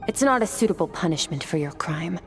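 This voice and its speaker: An actress playing a cool, ageless woman warrior, calm, detached and philosophical. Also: brisk, soft background music, close to an everyday voice. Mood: stern. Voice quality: husky, low and composed. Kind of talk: video game voice acting.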